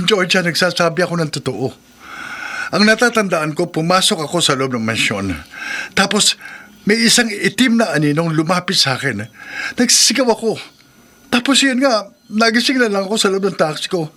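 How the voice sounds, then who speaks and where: High-pitched, frantic, stammering taxi driver. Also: male voice, expressive, normal speed, pushed and bright, heavily acted. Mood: earnest, troubled. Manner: stammering, breathless pleading. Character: frightened, bewildered male taxi driver, earnest husband. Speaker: radio drama actor. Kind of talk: Filipino radio drama acting.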